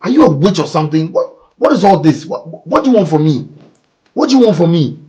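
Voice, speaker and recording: A man playing a defensive, hot-tempered young man who shouts in disbelief and then drops to a tense whisper. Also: mid-range voice, animated, brisk, husky, dull audio. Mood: outraged. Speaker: young man confronted by his partner. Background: music.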